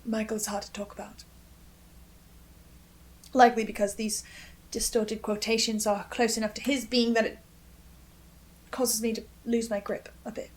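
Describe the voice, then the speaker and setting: A woman hosting a scripted lore analysis, speaking in a dramatic, halting, questioning way with theatrical asides. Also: on the high side, little pitch movement, poor audio. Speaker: host. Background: faint music.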